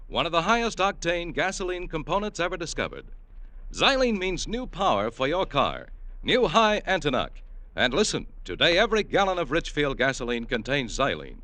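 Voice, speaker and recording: Bright, animated American radio announcer. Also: male voice, fairly high pitch, no echo. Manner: emphatic, polished salesmanship. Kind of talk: 1950s radio ad and credits announcing, scripted.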